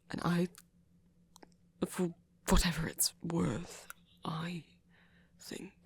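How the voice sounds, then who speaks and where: A man performs a flirty yet wistful vampire for an ASMR audio story. The voice is very husky and breathy, often sinking to a whisper. He speaks slowly and hesitantly, with creaky fry at the edges and a teasing softness. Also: on the high side, heavily acted. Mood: teasing, tender. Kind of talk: intimate ASMR audio roleplay.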